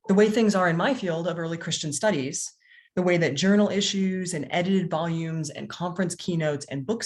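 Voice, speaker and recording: A woman, a history professor, giving a prepared talk as a panelist over a video call, in a measured, earnest way. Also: fairly low pitch.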